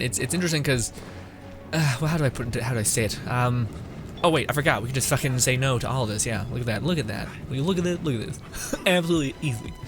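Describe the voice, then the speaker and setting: A young male streamer talks loosely through his play at a middling pitch. He rambles, cuts himself off and swears casually, with game music clearly heard behind him. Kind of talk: casual gameplay commentary.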